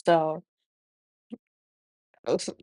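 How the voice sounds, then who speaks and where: A woman's voice: drawn-out words, dark tone. A woman with a Dominican accent, hosting a spiritual healing talk, speaking earnestly to camera with many fillers and restarts. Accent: Dominican Spanish. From Dominican Republic. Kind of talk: reflective monologue to camera.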